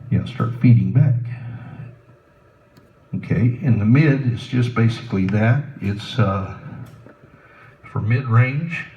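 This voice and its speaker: A man giving a slow, plain hands-on demonstration of setting up a sound system indoors, pausing often with fillers. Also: lowish voice, dull audio. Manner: unhurried, plain instructions with frequent pauses and fillers. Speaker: ministry volunteer demonstrating sound gear.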